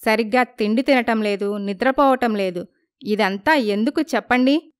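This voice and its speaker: A woman voicing a caring young village wife who questions her husband with concern in an animated story. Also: a little high, normal speed, clear, resonant tone, close to an everyday voice.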